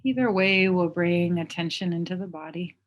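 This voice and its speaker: A woman teaching mindfulness, leading a guided meditation in a gentle, unhurried manner with long pauses. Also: lowish voice.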